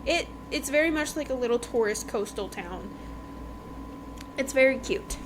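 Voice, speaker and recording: A woman's voice: on the high side, animated, moderate pace, noisy background. A woman podcast host giving a casual, chatty book review in an informal, emphatic way, repeating phrases for effect.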